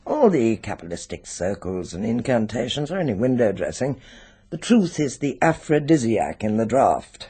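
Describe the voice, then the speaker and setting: Secretive British occult expert, husky voice, animated swings of pitch, deliberate, coolly menacing, radio drama. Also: male voice, exaggerated voice. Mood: musing.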